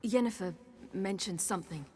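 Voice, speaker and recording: A woman's voice: mid-range voice, moderate pace, a little mellow, slightly rough, long reverb tail, lightly acted. A woman voicing a young, restless swordswoman in a video game, speaking earnestly and urgently. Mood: uncertain. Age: young adult. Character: determined, restless young swordswoman and fledgling mage. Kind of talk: video game cutscene acting.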